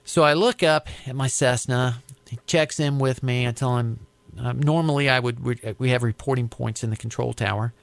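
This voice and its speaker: An American man recounting his work as an air traffic controller in a conversational, deliberate, explanatory way. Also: medium pitch, steady tone, vocal fry, dry recording. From United States. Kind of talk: conversational storytelling interview.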